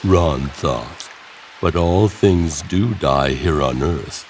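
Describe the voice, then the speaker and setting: Slow, theatrical male horror narrator. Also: a bass voice, a little hiss or hum. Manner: theatrical, pausing between short phrases. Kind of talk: dramatic scripted horror story narration. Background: loud music.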